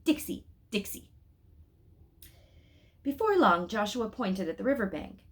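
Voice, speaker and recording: A woman reading a children's story aloud expressively, pausing to speak directly to her listeners with questions and asides. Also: medium pitch, big pitch swings.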